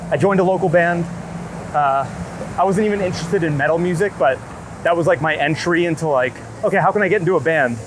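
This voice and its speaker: A man talking casually and earnestly as an interviewed photographer, rambling in a halting way. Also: fairly high pitch. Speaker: street and wedding photographer being interviewed. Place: outdoors on a city street. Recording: street noise, passing traffic.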